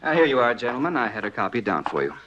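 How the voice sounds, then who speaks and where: A man playing a brisk, obliging dentist who answers precisely, in 1950s radio drama style. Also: mid-range voice, big pitch swings, highs cut off, heavy background noise, close to an everyday voice. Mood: brisk, helpful.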